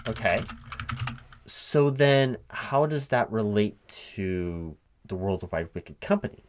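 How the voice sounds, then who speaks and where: A man's voice: fairly low pitch, expressive, takes it slowly, slight background noise. A man playing a flustered but polite recruiting manager in a one-sided ASMR interview roleplay, hesitating, repeating words and asking puzzled questions. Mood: bemused, questioning. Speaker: job interviewer. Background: computer keyboard typing.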